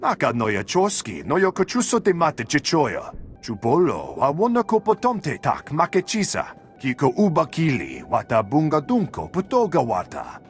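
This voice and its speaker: Video game alien, theatrical, highish voice with huge pitch swings, music underneath. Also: male voice, wildly swooping pitch, moderate pace. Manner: theatrical, declaiming in invented alien words. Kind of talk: video game cutscene acting.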